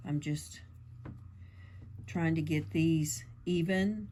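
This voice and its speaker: A woman talks through a homemade craft tutorial in an easy, rambling way, full of fillers and side stories. Her lowish voice sounds a little croaky from allergies. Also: very slow, warm tone, slight background noise. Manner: chatty, meandering, lots of fillers. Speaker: crafting channel host.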